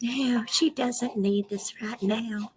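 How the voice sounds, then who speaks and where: A woman's voice: fairly high pitch, steady tone, takes it slowly, darkish tone, slight background noise, low-quality recording. A woman voicing a mock-soothing defender of a friend, talking down to people as if they should leave her alone.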